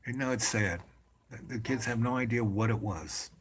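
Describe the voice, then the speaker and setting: A man of seventy in casual conversation, rambling and often trailing off quietly at the ends of phrases. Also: mid-range voice, normal speed. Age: 70s. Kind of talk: casual chat.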